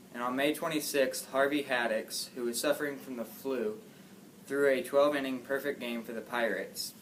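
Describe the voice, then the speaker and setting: A man reading scripted sports results as a reporter in an amateur newscast, in a matter-of-fact way. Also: medium pitch. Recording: distant mic, faint background noise.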